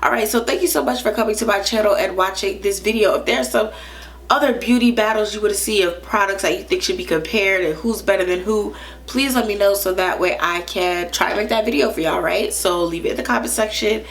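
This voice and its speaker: A woman's voice: mid-range voice, brisk, a little hiss or hum. A woman in her thirties reviewing beauty products to camera, a little stuffed up and nasal, chatty and often asking "right?". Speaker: beauty vlogger. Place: small indoor room, plain wall.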